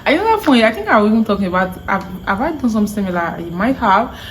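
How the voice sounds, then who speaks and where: A young woman with a Nigerian accent, hosting a casual chat; she is lively, laughs often and slips into pidgin. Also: medium pitch, fast, a little hiss or hum. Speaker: vlog host.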